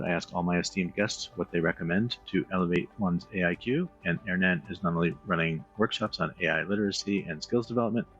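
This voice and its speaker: A man's voice: low-pitched, fairly bright tone, breathy edge. A man hosting a podcast interview, talking in a loose, rambling way with many fillers and restarts. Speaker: podcast host.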